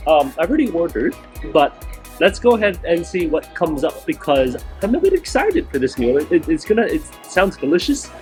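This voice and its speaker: Food vlog host, male, somewhat high voice, hoarse and raspy, eager and emphatic. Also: big pitch swings, normal speed, darkish tone, soft background music. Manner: enthusiastic, repeats words for emphasis. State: hoarse from feeling unwell. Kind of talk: casual travel vlog narration. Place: outdoors in a small-town plaza, then a tiny eatery.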